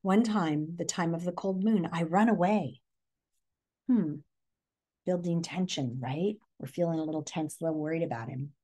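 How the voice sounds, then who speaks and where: A middle-aged woman with an American accent reads a picture book aloud, expressively, pausing to put questions to young listeners like a teacher. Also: fairly low pitch, mellow. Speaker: read-aloud presenter. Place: living room, indoors.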